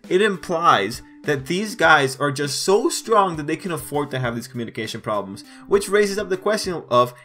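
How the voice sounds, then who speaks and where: A man's voice: on the high side, a bit bright. A man giving a casual manga review as a voice-over, emphatic and repeating phrases to drive points home. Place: voice-over over still images.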